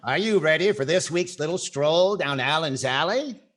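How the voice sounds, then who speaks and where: A man's voice: a little high, animated, normal speed, fairly bright tone. An older man playing a wry, wisecracking comedy host in a 1940s radio style. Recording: clear background noise and clicks.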